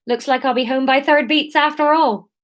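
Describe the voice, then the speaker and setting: A woman's voice: high voice, steady tone, normal speed, pitched above the performer's own voice, lightly acted. A woman voicing a friendly female market seller with a put-on Somerset accent and homely sales patter. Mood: warm, sly.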